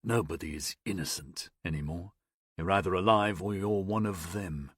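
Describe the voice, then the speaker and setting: A man voicing a gruff, cold, hardened former soldier who speaks slowly and with menace. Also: low voice, fairly bright tone, no room sound, studio quality, pitched below the performer's own voice. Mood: menacing.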